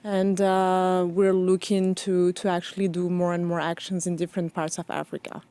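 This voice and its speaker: Woman from Morocco, halting interview guest. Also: lowish voice, level tone, dark tone, clear, resonant tone, no echo. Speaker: interview guest, foundation founder. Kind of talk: conversational interview.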